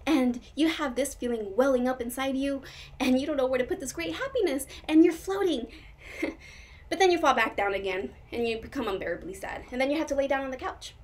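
A woman's voice: high-pitched, a little fast, a little mellow, natural voice. A woman performing a stage monologue as a whimsical, melancholy dreamer, quirky, chatty and effusive as she confides. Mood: dreamy, gushing. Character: whimsical, dreamy, melancholy woman, swinging between joy and sadness.

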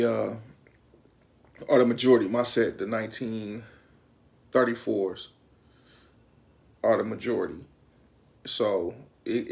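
Detroit man, thirties, gravelly and flat-voiced, laid-back street talk to camera. Casting a relaxed explainer voice. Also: mid-range voice. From Detroit, Michigan. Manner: relaxed, conversational, loose street slang. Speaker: YouTube host. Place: small room indoors.

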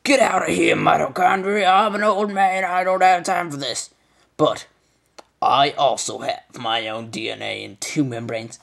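A man's voice: on the high side, steady tone, unhurried, gravelly, fairly bright tone. A man voicing a grumpy, boastful old chloroplast character with a Southern US accent, in a comic educational sketch.

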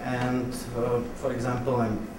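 A man from Slovakia with a Slovak accent, speaking as a panel guest, halting and repeating words as he searches. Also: medium pitch, monotone. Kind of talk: unscripted panel answers. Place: public event room, recorded at a distance. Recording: distant echoey hall, clearly noisy.

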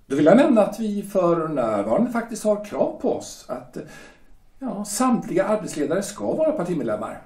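A man acts out a polished shipyard director in an audio drama, with a fairly high, lively voice. He speaks measured and slow, with hesitant pauses that hint at a threat. The voice sounds set back from the mic in an echoey room. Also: recorded from a distance. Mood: cool, probing. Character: smooth, veiled-threatening male shipyard director. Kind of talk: amateur audio drama acting.

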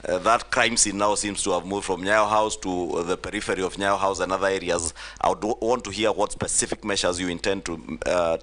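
Casting: middle-aged Kenyan male legislator, very low forceful voice, formal committee questioning at a desk mic. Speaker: member of parliament questioning a nominee. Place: large wood-panelled parliament chamber. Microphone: desk gooseneck microphone.

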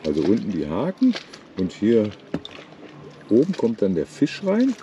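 An older man narrates a sailing trip outdoors on a lagoon, speaking casually into a camera mic. His pitch swings widely as he teases his partner. Wind and water noise sit clearly under his voice. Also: huge pitch swings, a little slow, dark tone, lo-fi sound. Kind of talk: casual running commentary while filming.

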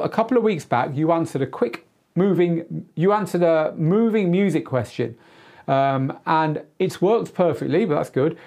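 A man's voice: fairly high pitch, darkish tone. A middle-aged man hosting a live Q&A, explaining things casually and emphatically in an informal, chatty way. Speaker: host and DJ tutor. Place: small indoor studio. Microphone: lapel mic.

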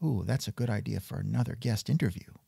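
A man's voice: on the low side, quick pace, gravelly, warm tone, breathy edge, no echo, clean studio sound. A man hosting a scripted comedic interview show, sardonic and increasingly exasperated. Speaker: podcast host.

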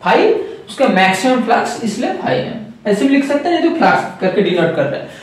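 A man's voice: extremely high pitch, big pitch swings, a little fast, heavy reverb, recorded from a distance. A man explaining a circuit step by step to viewers, repeating key points and calling them friends. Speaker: electronics trainer. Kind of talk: whiteboard circuit lesson.

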